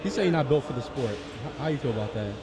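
A man casually interviewing a lifter in a gym with quick, curious questions. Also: medium pitch, expressive, a loud crowd. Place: large indoor gym.

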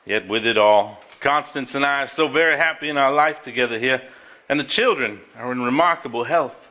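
An actor on a theater stage playing a man who reads an old journal aloud, deliberate, formal and earnest. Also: medium pitch, pushed and bright, lightly acted. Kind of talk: live stage drama, measured dramatic reading. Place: theater stage before a live audience.

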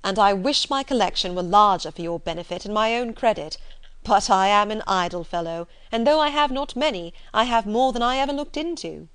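A woman reading a period novel, voicing a good-natured, gallant young gentleman in an earnest, courteous way. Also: medium pitch, animated, a little fast, clean tone, lightly acted. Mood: kindly, self-deprecating. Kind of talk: audiobook character dialogue from a period novel.

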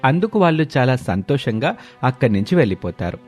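A man's voice: medium pitch, big pitch swings, fairly quick, a little mellow, soft background music. A man narrating a scripted story as the storyteller.

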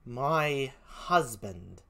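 A performer voicing an outraged, loyal and grieving gentlewoman with a put-on British accent, in theatrical Shakespearean acting. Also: medium pitch, expressive, extremely slow, gravelly, heavily acted. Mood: stunned. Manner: declamatory, impassioned, theatrical. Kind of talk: classical Shakespearean stage acting.

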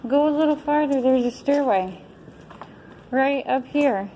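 A woman with a US Midwest Ohio accent, exploring an abandoned school and talking casually, cautious and a bit hushed about being seen. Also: high-pitched, unhurried, a little hiss or hum, low-quality recording. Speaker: urban explorer filming. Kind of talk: casual chat while exploring. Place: dark abandoned school building, indoors.

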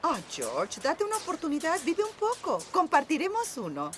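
A woman's voice: high-pitched, huge pitch swings, moderate pace. An actress playing a bossy, sensible, spirited female hippo in a children's cartoon dub, emphatic and scolding. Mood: eager. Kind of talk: Spanish-dubbed children's cartoon acting. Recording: music under the voice.

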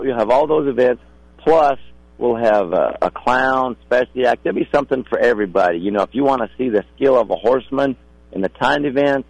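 A man's voice: medium pitch, gravelly, darkish tone, no room sound, low-quality recording. A middle-aged man with a polite, folksy way of talking, a guest in a casual radio interview. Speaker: rodeo contractor guest.

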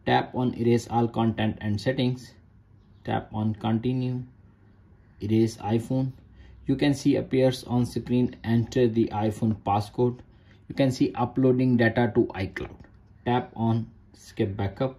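Guy slowly walking you thru phone steps, mellow voice, short repeated commands. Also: medium pitch, fairly even intonation, gravelly. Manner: short repeated instructions in non-native English. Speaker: tech tutorial host. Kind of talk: step-by-step screen tutorial narration.

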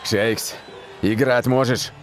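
A man playing a shrewd, steady football team captain in a dubbed cartoon. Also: medium pitch, slow pace, faint music behind, natural voice. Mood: thoughtful.

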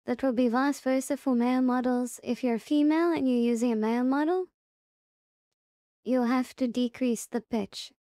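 Woman, high-pitched, soft mellow tone, tutorial presenter, casual, step-by-step, thinking aloud. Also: fairly even intonation, normal speed, dry recording, clean studio sound. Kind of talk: casual software tutorial narration.

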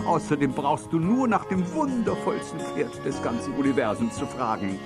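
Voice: mid-range voice, wildly swooping pitch, a little slow. Vain jolly talking horse, super swoopy grand voice, kids radio play, music behind. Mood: proud, jolly. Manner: boastful, grand, chuckling. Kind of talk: German children's audio drama acting.

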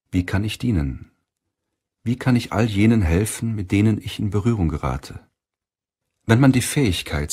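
A man narrating an audiobook in a measured, solemn way, reading from a script. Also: low-pitched, darkish tone, husky.

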